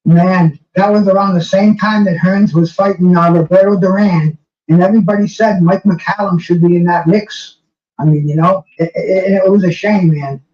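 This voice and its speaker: A man's voice: sits high, fairly even intonation, normal speed, a little mellow. An older man hosting a casual interview, stammering and repeating words, with an admiring way of talking. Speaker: host interviewer, boxing fan. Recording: muffled, roomy, distant from the mic.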